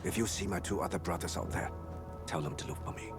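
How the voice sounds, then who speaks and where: A man's voice: sits low, quick pace, close to an everyday voice. A man voicing a grim, vengeful but loyal worker in video game story dialogue. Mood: grim resolve. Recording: music behind the voice.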